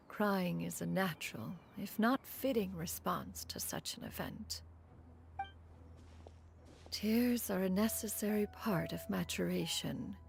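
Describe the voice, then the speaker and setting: A woman voicing a dignified, aloof immortal crane mentor in a video game, speaking in formal, archaic, measured phrases. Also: mid-range voice, vocal fry. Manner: formal, archaic, measured, calls herself one.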